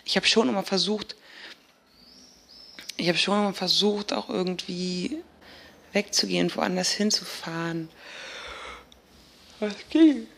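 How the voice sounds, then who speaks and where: Junge Frau, stockend, mit Knarren in der Stimme. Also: female voice, mid-range voice, gravelly, a little hiss or hum. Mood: searching, uneasy. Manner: halting, colloquial, with fillers and self-corrections. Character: young woman recounting a strange dream, searching for words. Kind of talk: naturalistic radio drama monologue.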